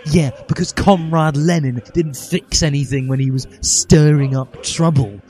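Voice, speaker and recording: A man's voice: a little high, steady tone, a little slow, darkish tone, voice pushed up, close to an everyday voice. A man voicing an angry, self-righteous revolutionary teenage boy with an indignant, sarcastic, ranting delivery.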